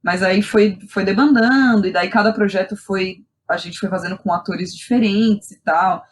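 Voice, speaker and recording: A woman's voice: mid-range voice, normal speed, a little hiss or hum. Young Brazilian woman telling personal stories, colloquial. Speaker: guest, playwright and theatre director. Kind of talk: casual personal storytelling in a podcast interview.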